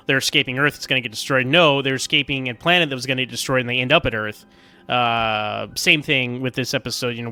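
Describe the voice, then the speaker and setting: A man's voice: on the high side, pushed and bright. A man giving casual, opinionated fan commentary as a podcast listener, chatty and circling back over his phrases.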